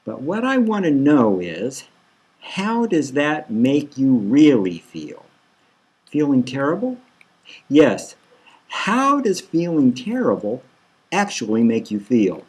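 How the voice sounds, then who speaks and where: A man puts on a smug male therapist for a satirical audiobook. The delivery is slow and patronising, probing with false comfort. The voice is husky and swoops widely in pitch. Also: very animated, heavily acted. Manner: patronising, deliberate, insistent. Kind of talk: satirical audiobook novel reading.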